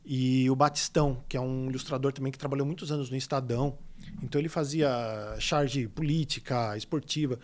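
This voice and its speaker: A man's voice: mid-range voice, fairly quick. A man from São Paulo, a guest illustrator telling stories in a casual podcast interview, rambling with lots of fillers. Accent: São Paulo Portuguese. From São Paulo state, Brazil. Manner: rambling, animated storytelling with many fillers.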